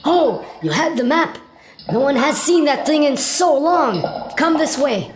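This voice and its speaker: Woman acting an ancient, high-voiced keeper of secrets. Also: very animated, measured pace, a crowd in the background. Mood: solemn. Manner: patient, cryptic, matter-of-fact. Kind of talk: comic sci-fi radio play.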